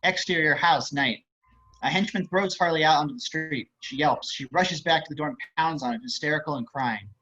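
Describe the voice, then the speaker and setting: A man's voice: a little high, a little fast, pushed and bright. A man reading stage and screen directions aloud as scripted narration. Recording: muffled online call audio.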